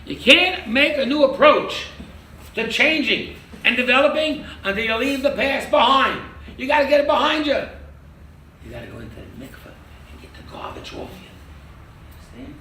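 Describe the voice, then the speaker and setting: An older man teaching a lively interactive Torah class as a rabbi, quizzing his audience and joking. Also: very high-pitched, normal speed. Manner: animated, quizzes the audience, jokes. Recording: echoey room from far off with noise.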